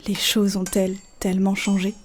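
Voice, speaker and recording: A woman's voice: mid-range voice, level tone, normal speed. A woman narrating a folk tale as a scripted audio story. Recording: faint music behind. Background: faint music.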